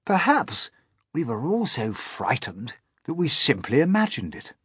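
A man voicing a wise, thoughtful old grasshopper in a husky voice. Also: mid-range voice, big pitch swings, a little slow, sharp tone, dry recording, studio quality, voice pushed up, heavily acted. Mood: musing.

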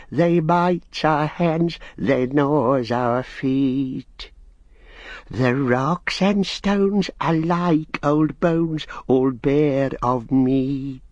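A man voicing a wretched, sly cave creature for a storybook, with a strained, croaking voice and drawn-out, sing-song speech. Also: on the low side, no echo, muffled recording, exaggerated voice.

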